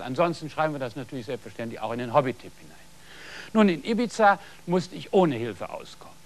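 Didactic middle-aged male TV host. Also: on the high side. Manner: didactic, addresses viewers directly. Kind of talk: scripted studio presentation. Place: studio set dressed as a garden patio. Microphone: lapel mic.

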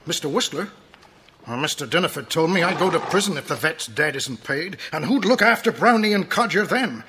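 An actor playing a kindly, anxious old farm worker with a hesitant, rustic way of talking in a children's audio drama. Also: male voice, a little high, animated, brisk, natural voice. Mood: worried. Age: older. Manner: rustic, hesitant turns of phrase. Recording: clearly noisy cassette transfer.

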